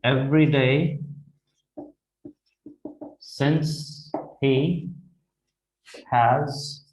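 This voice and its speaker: A man in his thirties with an Indian English accent, teaching vocabulary at a whiteboard. He repeats phrases slowly and keeps checking in with "right?". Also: medium pitch, steady tone, a little mellow, faint music behind. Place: classroom in front of a whiteboard. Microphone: lapel mic. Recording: echoey classroom.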